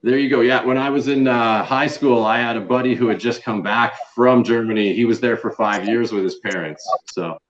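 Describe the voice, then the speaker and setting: A man's voice: mid-range voice, steady tone, moderate pace, few pauses, lo-fi sound. A man hosting a casual live chat with guests over a video call, talking in an informal, encouraging way and calling people dude. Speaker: livestream host. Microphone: internet video call.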